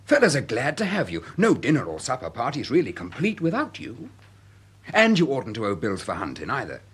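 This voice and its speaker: An actor playing a good-hearted, plain-spoken young student with an upper-class English accent in a period radio drama. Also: male voice, mid-range voice, huge pitch swings, a little fast, a bit bright, slightly rough, exaggerated voice. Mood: concerned, chiding. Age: young adult.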